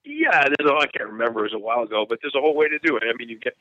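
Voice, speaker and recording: A man's voice: medium pitch, rapid-fire, pushed and bright, no echo. A man in his fifties from the United States, a war journalist telling his story in an interview, frank and earnest, often restarting his sentences. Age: 50s. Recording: tinny phone-line sound, dry.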